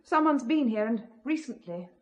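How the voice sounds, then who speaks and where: Actress playing an uneasy, high-voiced newlywed wife. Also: female voice, expressive, normal speed, lightly acted. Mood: apprehensive. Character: uneasy but witty newlywed wife in a mystery. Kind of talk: audio drama acting.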